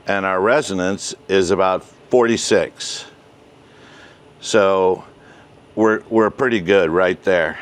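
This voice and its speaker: An older man in his sixties giving a casual step-by-step tutorial outdoors as host, with halting, deliberate delivery. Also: lowish voice. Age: 60s. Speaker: host, ham radio hobbyist. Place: outdoors, residential backyard and covered patio.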